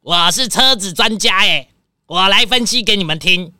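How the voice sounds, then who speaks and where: A woman's voice: medium pitch, normal speed, pushed and bright, dry recording, clean studio sound. A woman voicing a whiny, impatient rich man who loves cars, grumbling and showing off dramatically in a children's detective audio drama. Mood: eager, boastful. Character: whiny, impatient rich male car lover.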